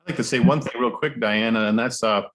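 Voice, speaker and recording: A man's voice: mid-range voice, fast talker, a bit bright, a little hiss or hum. A man making informal, appreciative remarks as a participant in a video call. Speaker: event participant.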